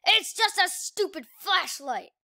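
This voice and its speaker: A woman voicing a bad-tempered male neighbour who hates kids, loud, blustering and indignant, in a children's storybook. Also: extremely high pitch, expressive, takes it slowly, gravelly, full character voice. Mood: menacing.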